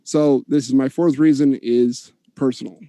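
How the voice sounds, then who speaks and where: A man earnestly giving his opening statement in an online debate, steady with occasional fillers. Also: mid-range voice, moderate pace, dry recording.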